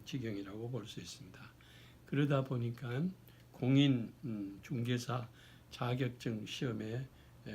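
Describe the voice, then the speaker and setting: A man, hoarse from a cold, giving an explanatory talk in a formal, deliberate way with halting phrasing. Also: mid-range voice, darkish tone, a little hiss or hum. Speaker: lecturer. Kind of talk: explanatory talk on social issues.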